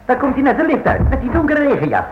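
An actor playing a chatty, polite porter of an apartment building in a radio play. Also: male voice, very high voice, huge pitch swings, quick pace, noisy background, full character voice. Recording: echoey, dull old radio recording.

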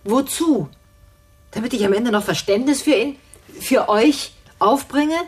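An actress playing an educated, sharp-tongued older woman in a radio drama, sarcastic, condescending and articulate. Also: fairly high pitch, unhurried, husky. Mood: scornful. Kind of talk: naturalistic radio drama acting.

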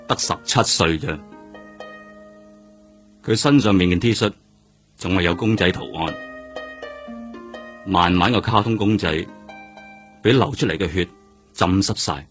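An actor with a Hong Kong accent playing a seasoned, world-weary detective in a radio drama, speaking emphatically. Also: male voice, low voice, a little slow, pauses often, faint music behind. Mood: grave, sombre. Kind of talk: Cantonese radio drama acting.

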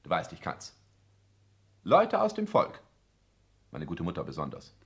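Arrogant, swooping low-voiced social climber. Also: male voice, wildly swooping pitch, unhurried, heavily acted. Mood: haughty, insistent. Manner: clipped, pompous, declamatory, formal. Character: cold, ambitious male social climber, arrogant and calculating. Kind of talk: 1960s German radio play acting.

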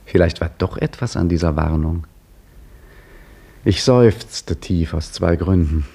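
A gravelly old caretaker. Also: male voice, low-pitched, exaggerated voice. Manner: dry, gently teasing, storytelling. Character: aging Egyptian embassy caretaker, wry and patient. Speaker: narrator and main character. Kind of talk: 1950s radio play acting.

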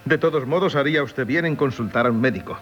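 An actor with a Castilian Spanish accent playing a chatty, courteous painter in a Spanish radio drama. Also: male voice, medium pitch, fast talker, fairly bright tone, natural voice. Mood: chatty, affable. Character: chatty, courteous male painter, long settled in town. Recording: dull, noisy 1970s radio recording.

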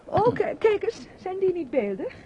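A woman's voice: very high-pitched, sing-song, normal speed. A woman acting in a radio play as an evasive, uneasy young niece, hesitant and guarded in her replies. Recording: muffled, slightly noisy old radio play recording.

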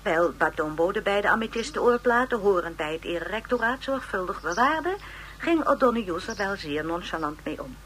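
A woman playing an encyclopedia narrator in a radio drama, giving formal, expressive, measured biographical narration. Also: medium pitch, a little hiss or hum, close to an everyday voice. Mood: informative, wry.

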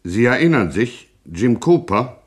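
An actor playing the storyteller of a gangster legend, narrating portentously in a mock-documentary radio play. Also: male voice, mid-range voice, very animated, a little slow.

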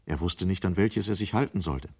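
A man's voice: low-pitched, fairly quick, a little mellow, breathy edge. A man narrating a 1970s German radio drama as a sober storyteller.

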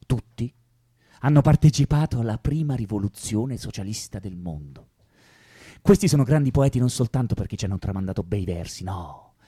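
A young revolutionary orator in a radio play, impassioned and deliberate. His pitch rises and falls widely, in a soft, slightly husky voice with a very dry, close sound. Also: no echo. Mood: solemn, emphatic. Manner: rhetorical, deliberate, swelling and dropping. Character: impassioned young revolutionary man orating about Russian poets. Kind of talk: dramatic political monologue in radio drama.

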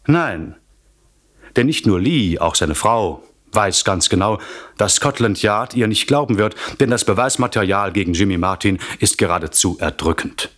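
Male narrator, standard German, low register, measured theatrical delivery for a scripted suspense radio serial. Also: animated, fairly bright tone.

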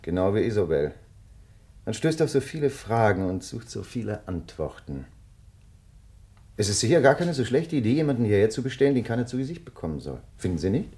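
A brooding man crime writer in a radio play, insistent and suspicious. His pitch moves widely as he probes, pointed and deliberate. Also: sing-song, warm tone. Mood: pointed, probing. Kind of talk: German radio drama dialogue.

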